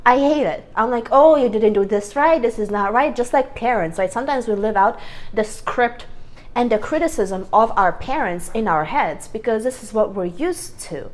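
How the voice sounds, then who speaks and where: A woman's voice: on the high side, lively intonation, normal speed. A woman vlogger giving a casual, earnest self-help talk to camera, rambling and correcting herself as she goes, on a clip-on microphone.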